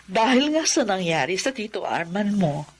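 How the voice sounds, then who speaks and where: A husky, calm widowed aunt. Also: female voice, medium pitch. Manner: calm, measured speech. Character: middle-aged widowed aunt, calm and grateful. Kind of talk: classic radio drama acting.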